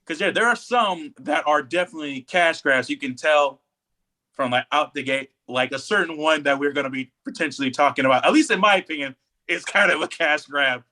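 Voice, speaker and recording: A man's voice: fairly high pitch, fairly quick, bright, forceful tone, low-quality recording. A man co-hosting a podcast, talking casually and giving opinions with emphatic, repeated phrasing. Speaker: podcast co-host.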